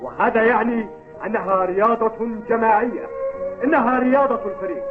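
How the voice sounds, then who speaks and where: A headstrong cartoon coach voiced by a man who lectures insistently in a fairly high voice. The recording is thin, like an old telephone line. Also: brisk, over music, lightly acted. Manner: didactic, insistent. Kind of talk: Arabic cartoon dubbing. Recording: tinny, phone-like old TV dub audio.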